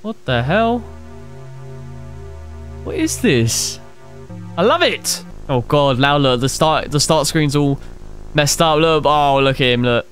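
A young man plays a horror game, reading its text aloud and reacting with quick exclamations and asides to viewers. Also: a little high, lively intonation, fairly bright tone. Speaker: gaming streamer. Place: small room at a desk. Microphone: headset. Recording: game music playing under the voice.

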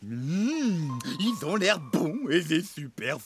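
A woman voicing a hungry, slow-witted male forest predator in a cartoon, with a slow, gullible way of talking. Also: deep voice, sing-song, music in the background, heavily acted. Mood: greedy, surprised. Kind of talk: cartoon voice acting.

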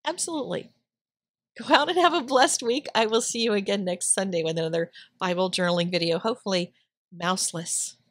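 A woman's voice: medium pitch, a little fast, no room sound. Middle-aged woman host, casual voice-over, chatty storyteller, dead-dry close mic. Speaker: Bible journaling channel host. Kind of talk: casual voice-over while drawing.

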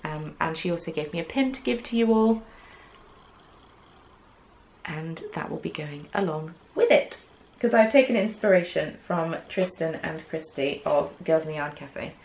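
A woman's voice: deep voice, lively intonation, normal speed, vocal fry, darkish tone, low-quality recording. A young woman hosting a knitting podcast, chatting casually to camera from a small craft room, rambling with lots of "um"s and playful asides. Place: small indoor craft room with yarn shelves. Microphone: camera at desk distance.

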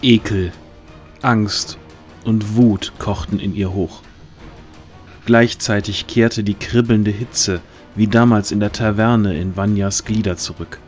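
Man, narrator, fairly low voice, scripted dramatic fantasy storytelling, soft music behind.

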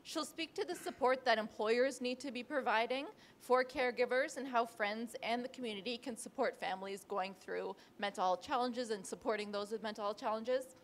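A woman's voice: on the high side, a little fast, hardly any pauses, slight background noise. A young woman moderating a panel, reading a speaker's introduction with small restarts, on a handheld mic. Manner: reads a list with small restarts. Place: panel stage in a large indoor room.

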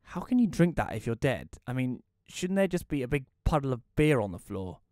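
A man's voice: mid-range voice, big pitch swings, a little fast, a little mellow, no echo, close to an everyday voice. A man playing a reclusive, wry widower, narrating an audio play in the first person in a dry, reflective way. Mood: curious.

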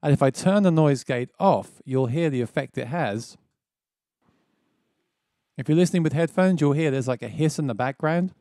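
Man, thirties, upbeat gear tutorial host, slightly high pitch, close dry mic, small home studio. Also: animated, normal speed, pauses often, dark tone, dry recording. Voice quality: describes his own voice as quite low. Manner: enthusiastic, explains step by step. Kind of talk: casual spoken tutorial. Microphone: dynamic mic on a boom close to the face.

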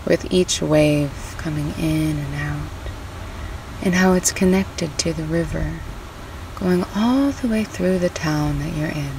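Woman doing guided meditation, slow and soothing, bit far from the mic w faint noise behind. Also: deep voice. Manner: soothing, unhurried, gently instructive.

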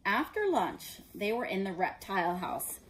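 A woman in her forties reading a children's story aloud as an expressive narrator. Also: medium pitch, measured pace, rough recording. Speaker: storybook reader. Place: living room indoors.

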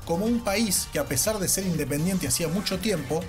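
A man's voice: sits high, animated, fairly quick, over music. A man with an Argentine accent narrating a scripted educational podcast as its host. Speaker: podcast host, social sciences teacher.

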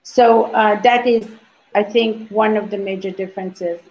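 A woman's voice: medium pitch, fairly even intonation, unhurried, a little mellow, low-quality recording. An older woman from Libya on a video call panel, recounting her activism in an emphatic, storytelling way with frequent restarts. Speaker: organization founder, panelist. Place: indoor home room.

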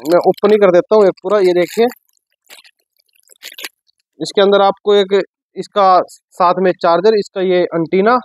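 A man, giving a casual unboxing and sales pitch as a shop presenter, explaining informally and repeating himself. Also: fairly even intonation, a little slow, long pauses. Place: shop office with sofa, indoors.

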